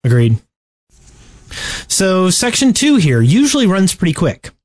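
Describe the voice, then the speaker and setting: A man co-hosting a podcast, talking through game strategy casually and haltingly as he thinks aloud. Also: sits high, huge pitch swings, a little mellow. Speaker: podcast host.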